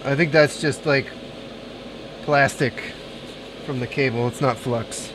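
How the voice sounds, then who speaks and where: A man's voice: mid-range voice, fairly even intonation, slow, background noise. A man streaming a console repair, chatting with viewers and thinking aloud while he solders. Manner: thinks aloud, chats with viewers between tasks. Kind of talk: casual live-stream chat while soldering.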